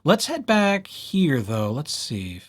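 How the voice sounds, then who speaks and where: A man giving a casual software walkthrough as a librarian, in a playful, wry and chatty way. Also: on the high side, huge pitch swings, slow pace. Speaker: librarian presenting a tutorial series.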